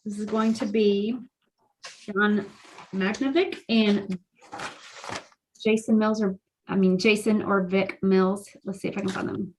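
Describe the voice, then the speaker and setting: A woman moderating an online public meeting, briskly bringing speakers into the call. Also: medium pitch, fairly even intonation, slight background noise, low-quality recording. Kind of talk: procedural meeting talk. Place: remote video call.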